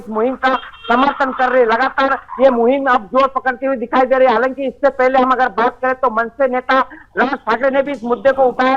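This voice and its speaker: A man reporting live as a news correspondent, in a halting delivery with repeated filler phrases. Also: very high-pitched, fairly bright tone. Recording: dull lo-fi live link audio.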